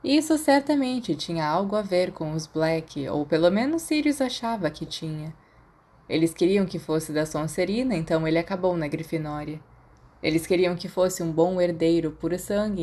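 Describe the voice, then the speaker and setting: A woman's voice: fairly low pitch, dark tone. A woman with a Brazilian accent reading scripted fiction as a podfic narrator.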